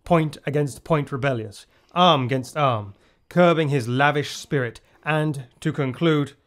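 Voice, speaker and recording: A man's voice: on the high side, unhurried, a bit bright. A man playing a loyal nobleman bearing war news in a classical radio drama. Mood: formal, proud.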